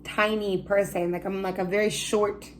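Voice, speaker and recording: A young woman hosting a casual chat, telling lively stories with a loud voice and frequent laughs. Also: medium pitch, normal speed. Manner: animated storyteller, laughs often, loud voice. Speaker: host.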